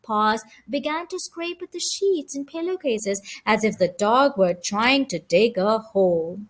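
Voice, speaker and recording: Woman, high-pitched, very varied intonation, expressive children's audiobook narration. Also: a little slow. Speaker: audiobook reader.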